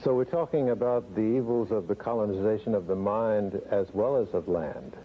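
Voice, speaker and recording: Low, muffled male interviewer. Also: normal speed, mellow. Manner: short, probing questions. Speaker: interviewer, host. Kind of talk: panel interview questioning. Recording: muffled, noisy old radio-style recording.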